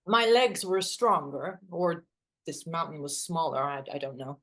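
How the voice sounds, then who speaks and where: A woman's voice: low voice, expressive, measured pace, a bit bright. A woman acting in a naturalistic drama as a wry, self-deprecating best friend who sighs, hesitates and teases. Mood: nostalgic, wry.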